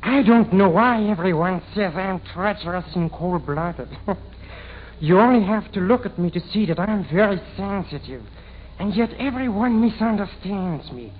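A man doing a high, whining, creepy little killer. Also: a little hiss or hum, close to an everyday voice. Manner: whining, sinister, softly menacing. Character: creepy, wounded little killer pleading that he is sensitive. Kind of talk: 1940s radio impression of a film villain. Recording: tinny, phone-like vintage radio recording.

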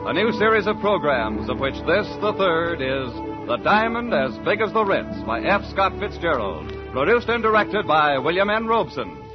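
Male vintage radio announcer, formal and declamatory, animated delivery; dull old broadcast sound. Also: a little high, moderate pace. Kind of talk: 1940s radio announcing, dramatic scripted narration. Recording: muffled 1940s broadcast with loud music.

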